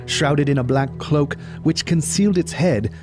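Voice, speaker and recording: A man narrating a scripted dramatic tale as storyteller, solemn and measured. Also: a little high. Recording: music behind the voice.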